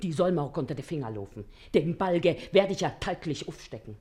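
Bossy naggy german mum in a radio play, very animated up and down. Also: female voice, sits low, a little fast, slightly rough. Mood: scolding. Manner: nagging, blustery, colloquial. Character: bossy, scolding, shrewd working-class mother and wife.

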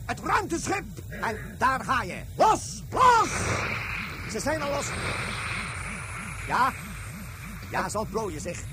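An actor playing an excitable, bossy raid leader who gives urgent clipped commands and reports in a science-fiction radio play. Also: male voice, very high voice, slow pace, pauses often, background noise, full character voice. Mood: urgent, excited.

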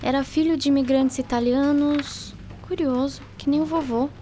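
A woman's voice: sits high, fairly even intonation, normal speed, dark tone, a little hiss or hum, close to an everyday voice. A woman playing a curious, imaginative schoolgirl in a radio drama, exclaiming and thinking aloud. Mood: curious, musing.

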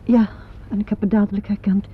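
A woman's voice: mid-range voice, flat delivery, brisk, slightly rough. An actress playing a proud, loyal theatre star in a Dutch radio play, emphatic and dramatic in her delivery. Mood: defensive, firm. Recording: dull, lo-fi old radio recording.

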